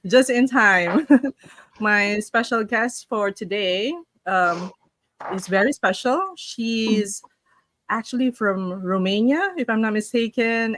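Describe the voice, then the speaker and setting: A woman's voice: mid-range voice, a little hiss or hum. A woman from the Philippines, hosting a casual online session and reading a story aloud with restarts. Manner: chatty, warm-up greetings, frequent restarts while reading. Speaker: host.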